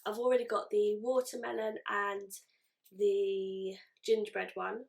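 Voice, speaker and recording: A woman's voice: medium pitch, little pitch movement, a little slow, vocal fry, low-quality recording. A woman chatting casually through a beauty unboxing, sounding nasal from a cold. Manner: chatty, rambling, self-correcting. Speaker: beauty vlogger.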